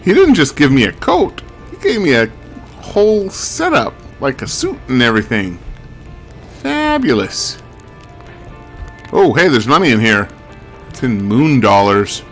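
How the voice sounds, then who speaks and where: Male streamer, mid-pitched voice, wry and musing, casual gameplay talk with faint music behind. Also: huge pitch swings, measured pace, pauses often, fairly bright tone. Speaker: game streamer. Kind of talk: casual video game commentary, thinking aloud.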